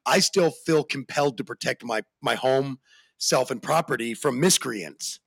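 An American man hosting a casual podcast chat, animated and slangy, with a deep voice that jumps in pitch for emphasis. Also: unhurried, gravelly, husky, no echo. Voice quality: deep bassy voice. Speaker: podcast host.

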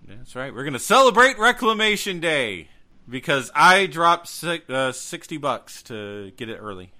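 A man's voice: fairly high pitch, sing-song, measured pace, bright, forceful tone. A man co-hosting a podcast in casual chat, with a grumpy, blunt, sweary manner. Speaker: podcast co-host.

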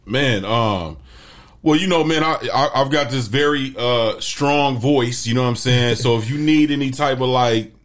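A man's voice: medium pitch, moderate pace. Man, casual host, slang, repeats words, mid voice. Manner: loose slangy talk, repeats words, hypes up the guest. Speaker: interview host. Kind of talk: casual interview chat.

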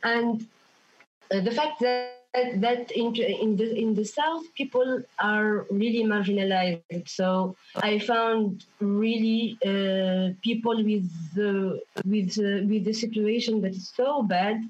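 A woman's voice: medium pitch, takes it slowly. A young woman from Tunisia answering interview questions, hesitant, restarting and repeating words as she searches for them. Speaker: guest activist. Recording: dull lo-fi remote call audio.

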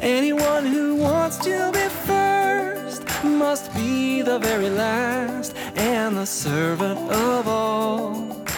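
Woman, slow sung lines, fairly high voice, loud instrumental music behind. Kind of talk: song with scripture lyrics.

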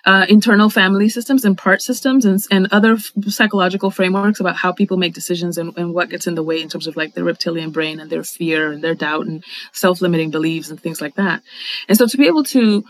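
A woman's voice: on the low side, steady tone, fast talker, no room sound, rough recording. A middle-aged woman from the Dominican Republic, a guest in an interview, talking thoughtfully and articulately with frequent fillers and restarts. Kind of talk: reflective conversational interview.

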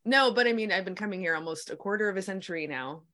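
A woman in her forties, chatting in a relaxed conversation, laughing easily and making quick asides. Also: medium pitch. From New Jersey/New York, USA. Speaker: podcast host and guest. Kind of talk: casual conversation.

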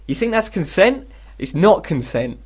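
A man's voice: sits high, very animated, a little slow, husky. A British man co-hosting a radio comedy show, chatting casually in a rambling, irreverent, sardonic way. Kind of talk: casual comic chat.

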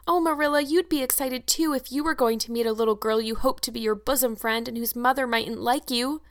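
A woman's voice: fairly high pitch, a little fast, dry recording, studio quality, lightly acted. A woman voicing an anxious, dramatic orphan girl in a storybook, gushing and earnest with old-fashioned long words. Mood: frightened, breathless excitement. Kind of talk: audiobook character acting.

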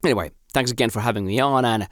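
A man's voice: medium pitch, fast talker, a little hiss or hum. A man who speaks Finnish as his first language, eagerly giving his views as a podcast guest. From Finland. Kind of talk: podcast commentary.

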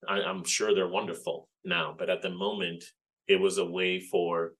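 Deep-voiced man in his forties. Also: flat delivery, a bit bright, rough recording. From Puerto Rico. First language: Spanish. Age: 40s. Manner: reflective, unhurried storytelling with frequent "you know". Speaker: guest, game developer. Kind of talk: casual interview chat.

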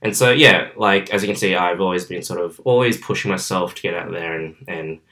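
A young Australian man hosts his own podcast from his bedroom. His voice sits very low but comes across bright and forceful. He talks casually and sociably, with plenty of ums along the way. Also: a little fast. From southwestern Sydney, Australia. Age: about 21. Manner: chatty and outgoing, many fillers. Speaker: podcast host. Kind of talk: casual solo podcast monologue. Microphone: camera, filmed.

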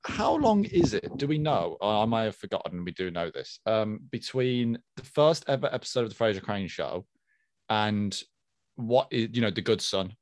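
A man's voice: fairly low pitch, sing-song, moderate pace, a little mellow, no room sound. Musing male podcast co-host, dry close sound. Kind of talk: casual chat about a sitcom.

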